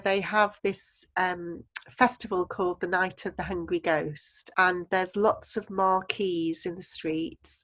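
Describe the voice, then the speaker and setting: A woman speaking reflectively in an interview about her work and her art. Her voice sits a little low, and she pauses to gather her thoughts. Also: poor audio. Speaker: social worker and painter.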